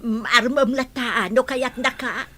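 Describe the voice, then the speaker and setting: A woman's voice: fairly high pitch, unhurried, fairly bright tone. A woman playing an elderly, approving grandmother with an Ilocano accent in an audio drama. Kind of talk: Ilocano radio-style drama acting.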